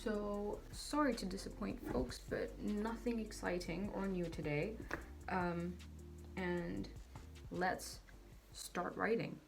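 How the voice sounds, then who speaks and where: A woman's voice: mid-range voice, steady tone, slow pace, vocal fry, over loud music. A woman in her twenties from Saudi Arabia, talking casually to camera in a chatty, self-deprecating way. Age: 20s. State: tired. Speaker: vlogger. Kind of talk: casual vlog talk to camera.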